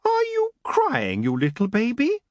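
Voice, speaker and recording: A sneering elf prince with a high, screeching voice that turns silky and mocking, put on by a man reading the story. He drags his words out slowly and sounds close and dry. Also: wildly swooping pitch, fairly bright tone, no room sound, heavily acted.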